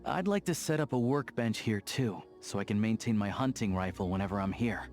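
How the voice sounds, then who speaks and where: Game role: male actor as young rugged hunter-lawman, lowish register, matter-of-fact, easygoing, light music bed. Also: a little fast, close to an everyday voice. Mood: wry, matter-of-fact. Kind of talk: video game character dialogue. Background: soft background music.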